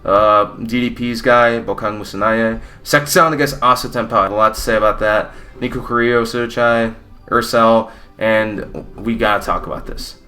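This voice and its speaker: A man's voice: fairly low pitch, steady tone, moderate pace, pushed and bright. A young man from Mexico giving a blunt, rambling, opinionated fight recap with casual swearing. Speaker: combat sports YouTuber.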